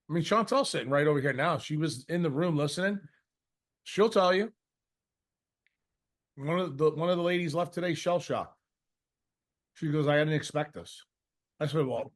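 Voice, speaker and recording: A man with a fairly high voice speaks without a script, leading a question session. He is blunt and confrontational, swears freely, and scolds his listener with pointed rhetorical questions. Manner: uses mocking imitations and rhetorical questions. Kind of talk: blunt unscripted lecturing in answer to a question.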